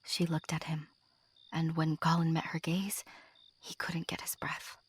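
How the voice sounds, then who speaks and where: A woman narrating a scripted story in a hushed, breathy storytelling manner. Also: low voice, flat delivery, a little slow, no echo.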